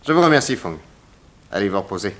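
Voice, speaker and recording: Shrewd, animated low-voiced detective. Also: male voice, quick pace. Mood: confident, deductive. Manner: courteous, inquisitive, theatrical. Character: shrewd, probing, confident American male detective. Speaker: actor in a detective radio serial. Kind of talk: 1960s French radio drama acting.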